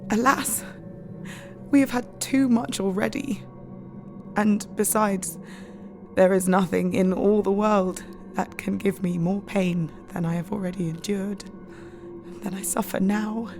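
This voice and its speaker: A woman's voice: medium pitch, steady tone, unhurried, close to an everyday voice. A woman playing a brave, sorrowful young wife in an audio drama, earnest and restrained, with music behind her. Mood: sorrowful resolve. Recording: music under the voice.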